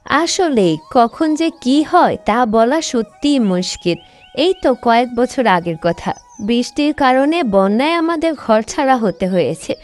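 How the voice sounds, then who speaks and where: Woman as high-pitched, sharp-tongued village mother. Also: normal speed, soft background music. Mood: resigned. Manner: scolding, expressive.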